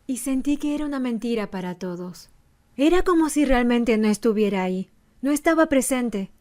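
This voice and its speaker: A woman's voice: fairly high pitch, animated, normal speed, a little mellow, close to an everyday voice. A woman voicing a young female animator who earnestly narrates a painful personal confession for a fan dub. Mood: earnest, sorrowful.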